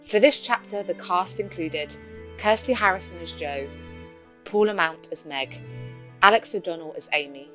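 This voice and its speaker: A woman's voice: lowish voice, moderate pace, fairly bright tone. A woman announcer reading the scripted closing credits of an audio drama. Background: music.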